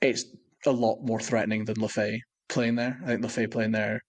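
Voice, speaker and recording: A man's voice: lowish voice, flat delivery, normal speed. A man co-hosting a sports podcast, chatting casually about football in an analytical, matter-of-fact way.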